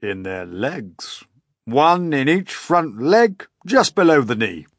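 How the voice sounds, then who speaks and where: A man voicing an old, gentle male grasshopper who lectures kindly and is proud of his music. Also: medium pitch, big pitch swings, slow, no echo, clean studio sound, voice pushed up. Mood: teasing. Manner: kindly, lecturing, fond of his own skill.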